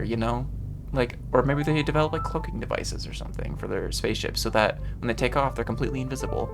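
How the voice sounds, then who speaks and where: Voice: medium pitch, creaky. A host playing a game and commenting casually, reacting expressively with exclamations and reading on-screen text aloud. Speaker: gaming video host. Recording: music behind the voice. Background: game background music.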